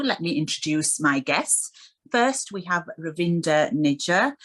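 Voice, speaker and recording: A woman hosting a radio show, introducing guests and putting questions to them. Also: on the low side. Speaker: radio host.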